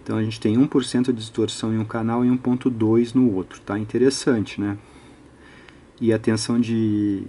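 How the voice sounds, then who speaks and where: A man's voice: fairly low pitch, dark tone, a little hiss or hum. A Brazilian man giving an unscripted technical tutorial, methodically thinking aloud and often adding short tag questions. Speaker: electronics hobbyist presenter.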